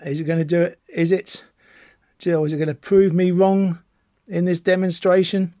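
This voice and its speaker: A man's voice: high-pitched, flat delivery, moderate pace, warm tone, no echo. A British man giving an informal, chatty gadget demonstration as an enthusiastic, rambling hobbyist presenter. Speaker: amateur radio enthusiast presenting a video. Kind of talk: informal product demonstration to camera.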